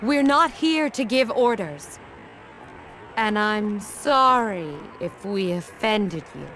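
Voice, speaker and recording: An actress playing a composed, shrewd warrior woman in a video game, measured and politely probing. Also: mid-range voice, lively intonation, fairly bright tone, slight background noise. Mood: calm, pointed. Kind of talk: dubbed video game cutscene acting.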